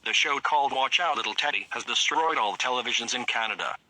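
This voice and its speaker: Grave male head of state played by a man, mid-pitched and very sharp, formal scripted emergency address. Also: fast talker, no echo, rough recording.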